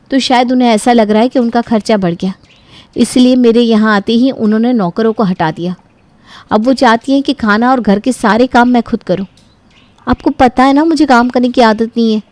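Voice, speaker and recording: A woman plays a pampered young married daughter in an animated family story. Her voice sits a little high and she explains her grievances at length. The sound is dry, with no room on it. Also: dry recording, close to an everyday voice. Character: young married daughter from a wealthy home, aggrieved and entitled. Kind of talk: animated Hindi moral story acting.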